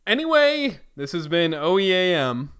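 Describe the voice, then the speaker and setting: A man co-hosting a podcast in a sweary, deadpan style of crude, absurd comic banter. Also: sits high, normal speed, highs cut off, very noisy. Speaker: podcast co-host.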